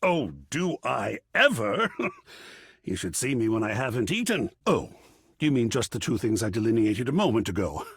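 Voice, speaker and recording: Wildly swooping, effusive art curator. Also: male voice, medium pitch, very animated, normal speed, husky, no room sound, clean studio sound. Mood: emotional, playful. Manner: effusive, erudite, precisely enunciated, dramatic. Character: excitable, cultured art object come to life, gallery curator. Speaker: video game character. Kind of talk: theatrical game dialogue.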